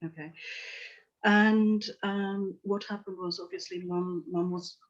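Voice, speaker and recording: A middle-aged woman from Tanzania speaks earnestly and reflectively, circling back with "you know" as she recounts her past. Her pitch stays level and even. The call audio sounds dull and lo-fi. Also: slow pace. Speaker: psychotherapist guest. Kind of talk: podcast interview storytelling.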